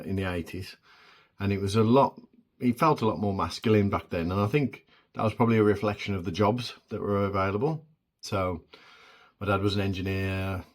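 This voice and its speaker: A man in his forties with a deep voice, talking alone in a halting, reflective way with many pauses and restarts. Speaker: vlogger. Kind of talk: solo reflective monologue.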